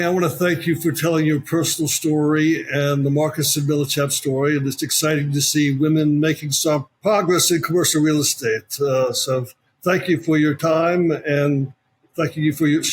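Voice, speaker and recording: A man hosting an interview, asking formal questions in a polite, measured way. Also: on the high side, steady tone. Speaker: interviewer, host.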